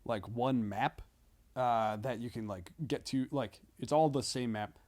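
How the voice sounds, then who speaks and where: A man's voice: medium pitch, a little slow, darkish tone. A man chatting casually as a podcast guest, thinking aloud in a rambling, loosely sweary way.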